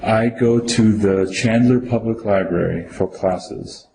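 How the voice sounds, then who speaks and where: A man's voice: lowish voice, slow pace, a little mellow, a little hiss or hum. An older man from Venezuela answering citizenship interview questions in short, complete, careful sentences. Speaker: citizenship applicant in a mock interview. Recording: roomy echo with faint ambience.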